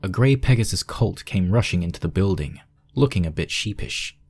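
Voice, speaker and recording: A man's voice: deep voice, animated, moderate pace, darkish tone, husky. A man reading scripted story narration as the narrator of a piece of fan fiction.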